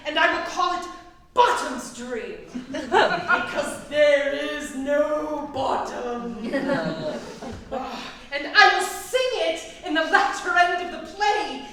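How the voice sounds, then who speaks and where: A woman playing a boastful, dazed male weaver in a staged Shakespeare reading, theatrical and giggly, with sighs and pauses. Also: high voice, expressive, a crowd in the background. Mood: excited, triumphant. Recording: echoey hall, distant mic, faint noise.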